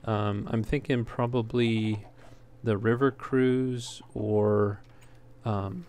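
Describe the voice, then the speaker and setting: A man giving casual gameplay commentary in a halting way, as if thinking aloud while he plays. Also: on the low side, steady tone, darkish tone. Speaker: let's player, host. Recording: clear background noise.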